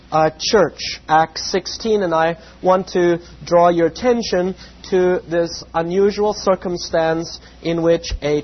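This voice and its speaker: A man with a slightly raspy voice giving an evening Bible lesson, measured and full of "uh" fillers, with a reverent tone when he prays. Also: fairly high pitch. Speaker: Bible teacher. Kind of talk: evening Bible lecture with prayer. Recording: dull lo-fi with faint hum.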